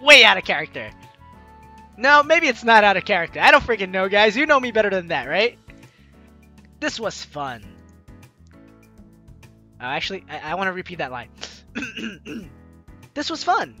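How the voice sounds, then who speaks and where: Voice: mid-range voice, sing-song, moderate pace, long pauses, sharp tone, dull audio. A let's play host reading visual novel lines aloud and reacting in an exclaiming, giggly way. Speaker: gaming video host. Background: game music.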